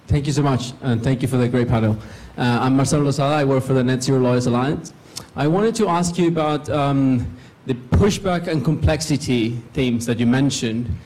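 A man's voice: mid-range voice, a little fast, a little mellow, rough recording. A man in the audience asking the panel a courteous, searching question.

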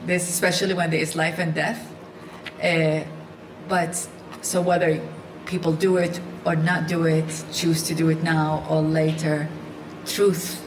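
A woman who grew up in Iraq speaks on a panel with an earnest, emphatic delivery, often restarting her sentences. Her voice sits a little low. The room gives it a noticeable echo, with faint ambient noise behind her. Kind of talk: live panel discussion.

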